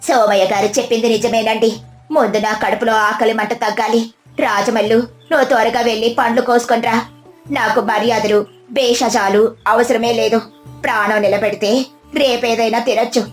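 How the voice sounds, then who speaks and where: A woman's voice: fairly high pitch, fairly even intonation, moderate pace, echoey room, faint music behind, close to an everyday voice. Woman voicing a hungry, complaining older village woman. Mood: pleading. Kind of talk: scripted moral-story cartoon dubbing.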